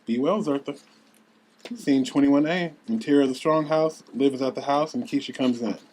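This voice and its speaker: A man's voice: mid-range voice, fairly even intonation, a little fast, a little mellow, slight background noise, rough recording. A man with a mid-range voice reads screenplay narration. He announces scenes and describes the action as the narrator of a table read. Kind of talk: scripted narration of stage directions.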